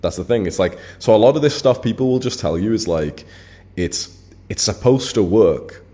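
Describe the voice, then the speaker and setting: A man's voice: fairly low pitch, animated, normal speed, dark tone. A man in his late twenties hosting his own channel, talking blunt and casual straight to camera, swearing freely and leaning on filler words. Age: 20s. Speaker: channel host.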